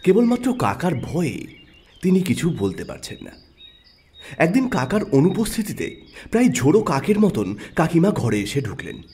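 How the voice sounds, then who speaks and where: Husky, expressive male storyteller. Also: on the high side, moderate pace. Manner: expressive, rising and falling storytelling. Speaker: narrator. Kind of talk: storytelling narration.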